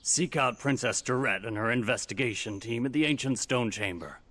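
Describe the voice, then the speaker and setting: An actor playing a weary, kindly old grandfather in a video game cutscene, speaking in an unhurried, world-weary way. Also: mid-range voice, fairly bright tone, close to an everyday voice. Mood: weary, earnest. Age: older. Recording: music behind the voice.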